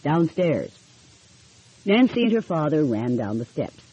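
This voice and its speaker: A woman narrating an audiobook in an expressive storytelling style. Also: low voice, moderate pace, husky, no room sound. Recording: dull, lo-fi audio.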